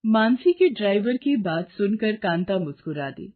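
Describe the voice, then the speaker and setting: A woman's voice: mid-range voice, very animated, moderate pace, narrow, tinny audio. A woman narrator reading a scripted moral story.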